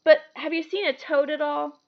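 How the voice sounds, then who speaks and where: A timid, miserable young boy from a storybook played by a woman reader. He sounds plaintive and tearful, high-pitched with some creak, in a slightly muffled recording. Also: little pitch movement, moderate pace. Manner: plaintive, wailing.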